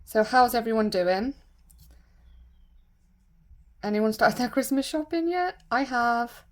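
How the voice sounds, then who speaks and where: A woman with a croaky voice from a sore throat, chatting casually and rambling with lots of fillers while doing her nails. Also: fairly high pitch, expressive, slow pace. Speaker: nail vlogger.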